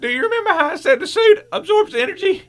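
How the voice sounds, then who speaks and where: A man playing a dying, earnest scientist in a radio superhero drama, speaking in a strained, halting, urgent way. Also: very high voice, big pitch swings, a bit bright, full character voice. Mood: amazed, weak. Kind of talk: old-time radio superhero drama acting.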